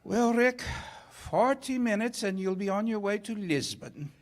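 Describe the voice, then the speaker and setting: An actor playing a sly, corrupt police official, suave and deliberating, in 1940s radio theater style. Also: male voice, high-pitched, lively intonation, unhurried, faint music behind. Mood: wry farewell.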